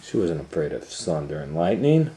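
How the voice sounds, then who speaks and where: A man's voice: a bass voice, very animated, normal speed, warm tone, slight background noise. A man reading a children's picture book aloud as a storytime host, in a dramatic, playful way.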